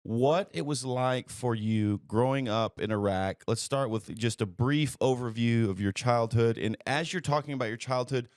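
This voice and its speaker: A man's voice: mid-range voice, moderate pace, few pauses. Casting: male podcast host, mid-range voice, informal interviewer who jumps in and recaps, recorded close and dry. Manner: informal, interjecting, echoes back what he hears. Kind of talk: casual interview talk.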